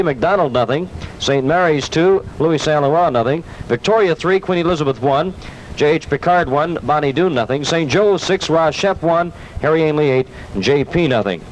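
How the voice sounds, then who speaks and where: A male sports reporter delivers a scripted 1970s bulletin in a slightly high voice. The old radio recording has faint noise behind him. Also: low-quality recording.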